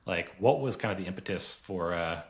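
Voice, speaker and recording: A man hosting an interview podcast. His voice is on the low side, and he phrases his questions loosely, hedging with "I guess" and "like" as he goes. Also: lively intonation, fairly quick. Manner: hesitant questions full of I guess and like. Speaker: podcast host.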